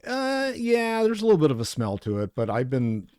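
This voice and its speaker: A man's voice: on the high side, huge pitch swings, moderate pace, darkish tone, clean tone, no echo, clean studio sound. A man chatting casually while playing an online game with a friend, rambling with many fillers and restarts. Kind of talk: casual gaming chat.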